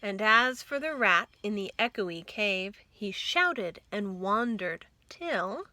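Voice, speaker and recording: Female storytime reader, measured pace, bright and expressive, rhythmic children's verse. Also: medium pitch, no room sound. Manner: deliberate, rhythmic verse reading.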